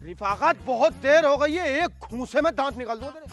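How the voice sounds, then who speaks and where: A belligerent male villager, played by a man with a very high, forceful voice. He is loud, crude and threatening, in broad comedy sketch style, with faint music behind. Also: expressive, a little fast, exaggerated voice. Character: combative, threatening village man, rival in a feud.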